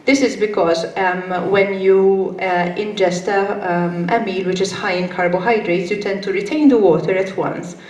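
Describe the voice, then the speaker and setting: A woman's voice: medium pitch, fairly even intonation, normal speed, few pauses, a little mellow. Hesitant woman in an echoey hall. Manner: hesitant, many fillers. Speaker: conference speaker. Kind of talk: conference presentation. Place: conference hall. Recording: echoey hall with faint noise.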